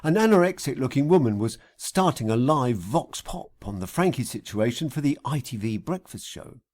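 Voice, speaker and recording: A man reading a satirical audiobook story in a theatrical, deliberate manner. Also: medium pitch, expressive, darkish tone. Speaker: author reading an audiobook extract. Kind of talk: scripted satirical audiobook narration.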